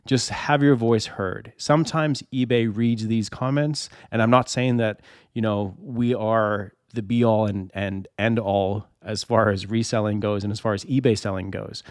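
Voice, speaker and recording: A man's voice: fairly low pitch, steady tone, unhurried, no echo, clean studio sound. A man who is feeling under the weather, talking in a casual, chatty way as a channel host. He repeats himself and builds a long hypothetical example, with rhetorical questions along the way. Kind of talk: casual explainer to camera.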